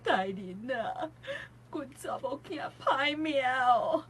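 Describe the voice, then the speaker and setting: A woman's voice: high voice, lively intonation, slow pace, fairly bright tone, slight background noise, heavily acted. An actress playing a grieving mother who laments and pleads for justice in a radio drama. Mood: grieving, wailing. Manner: wailing, lamenting. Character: grieving old mother demanding justice for her dead daughter. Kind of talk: Taiwanese radio drama acting.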